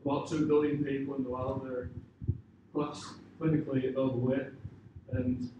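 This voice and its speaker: A man answers audience questions about his business in an informal, hesitant way. His middling voice moves little in pitch. He is picked up from afar in a very echoey room with clear noise behind him. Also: flat delivery, moderate pace, far from the mic. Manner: hesitant, informal, leans on "you know" and "sort of".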